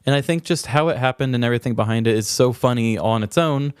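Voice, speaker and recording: A man's voice: mid-range voice, fast, smooth, no room sound, studio quality. A man co-hosting a podcast, telling odd crime stories in casual, conversational, rambling comedic chat. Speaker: podcast co-host.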